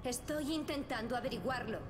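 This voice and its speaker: A woman's voice: on the high side, brisk, lightly acted. Actress as focused female agent, heavy background noise. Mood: businesslike. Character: serious female special agent reporting in. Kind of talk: video game voice acting. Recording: distant game audio, heavy noise.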